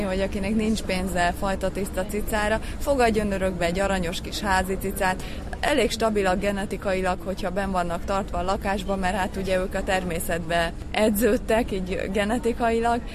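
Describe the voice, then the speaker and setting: A woman giving spoken answers as an expert guest in an interview. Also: mid-range voice. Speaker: cat breeding expert being interviewed. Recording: clear street and traffic noise.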